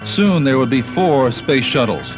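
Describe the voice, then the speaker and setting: A man's voice: mid-range voice, animated, unhurried. American man narrating a documentary over music. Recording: 1980s cassette tape, music behind. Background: background music.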